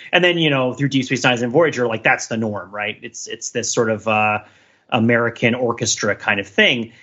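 A man's voice: mid-range voice, fairly bright tone, dull audio. A man co-hosting a podcast, talking casually and analytically in a discursive way, thinking aloud with many asides. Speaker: podcast co-host.

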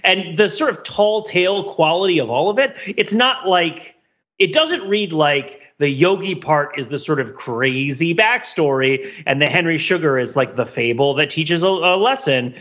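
A man's voice: fairly high pitch, animated, normal speed, a bit bright, lo-fi sound. A man co-hosting a podcast, discussing film analytically in a wordy, thinking-aloud way, often repeating himself and checking in with "right?". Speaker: podcast co-host.

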